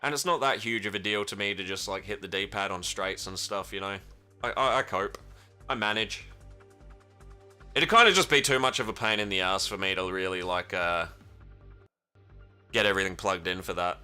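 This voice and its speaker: A man's voice: low voice, moderate pace, long pauses, bright, forceful tone, faint music behind. A young Australian man streaming a racing game, chatting loosely with viewers and swearing casually as he drives. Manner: rambling, chatty, swears casually, answers chat. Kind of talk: casual live-stream chat while racing. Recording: racing game audio behind voice. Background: game engine noise.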